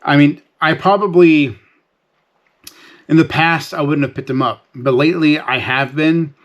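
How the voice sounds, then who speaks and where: A man's voice: a little high, fairly even intonation, a little slow, highs cut off. A man hosting a casual, unscripted sales vlog, explaining things to viewers in a conversational, folksy way.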